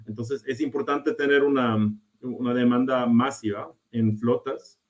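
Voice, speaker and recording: A man with a Costa Rican-tinged Spanish accent speaking as a panelist on a video call, halting with many restarts and fillers. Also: mid-range voice, steady tone. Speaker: panelist, charging company executive. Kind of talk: remote panel talk. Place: remote, joining from abroad.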